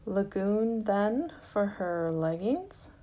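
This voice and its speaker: Slow-paced, mellow woman narrating a craft tutorial. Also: medium pitch, big pitch swings. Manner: unhurried, gently explanatory.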